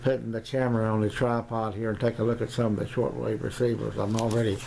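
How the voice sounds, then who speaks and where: A man's voice: lowish voice, level tone, creaky, a little mellow. A middle-aged or older man giving a casual, unscripted equipment review as a hobbyist, rambling and hesitating often. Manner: halting, rambling delivery with long hesitations. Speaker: amateur radio hobbyist presenting his equipment. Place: small indoor home radio room. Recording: noisy home camera recording.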